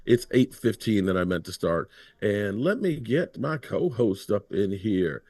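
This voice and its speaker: A man's voice: on the low side, sing-song, measured pace, darkish tone, no echo. A man hosting a casual livestream chat, talking in a laid-back, conversational way. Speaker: livestream host.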